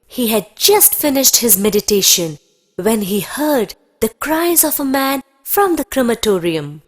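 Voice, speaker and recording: A woman's voice: a little high, very animated, measured pace. A woman narrating a scripted children's story.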